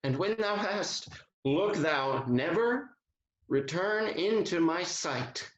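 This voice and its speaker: An earnest, commanding colonel of Restoration stage comedy, played by a man. He speaks slowly and romantically, in a muffled recording with a roomy echo. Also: high voice, lively intonation. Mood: stern, angry. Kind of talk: theatrical period comedy acting. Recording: dull, echoey room sound.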